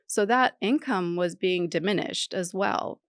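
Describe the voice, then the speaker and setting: Lower-voiced woman from Iran, candid and determined. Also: a little slow, no echo, clean studio sound. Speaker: podcast guest. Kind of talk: casual interview talk.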